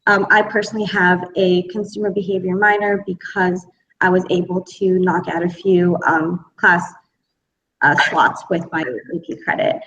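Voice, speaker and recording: A woman's voice: lowish voice, fairly even intonation, normal speed, a bit bright. A young woman from Connecticut, a student on a panel, talking casually with many fillers. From Connecticut, USA. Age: young adult. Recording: roomy, distant, dull mic sound.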